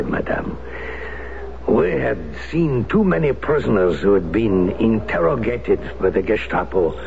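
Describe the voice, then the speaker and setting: An actor playing a grave, guilt-ridden resistance veteran, in a 1970s radio drama. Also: male voice, medium pitch, heavily acted. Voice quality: gravelly, solemn. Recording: dull old radio broadcast with hiss.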